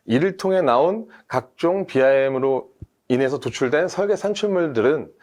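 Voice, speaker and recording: A man's voice: fairly high pitch, lively intonation, normal speed, darkish tone. A man, a team leader, explaining things methodically in a webinar discussion, with frequent fillers and restarts. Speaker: team leader, industry expert guest.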